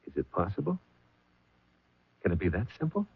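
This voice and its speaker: An actor playing a shrewd, terse police sergeant in a 1970s radio mystery, matter-of-fact and methodical. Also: male voice, deep voice, wildly swooping pitch, moderate pace, gravelly, breathy edge, heavily acted. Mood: grim resolve. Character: shrewd, hard-boiled male police sergeant. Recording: muffled lo-fi 1970s radio recording.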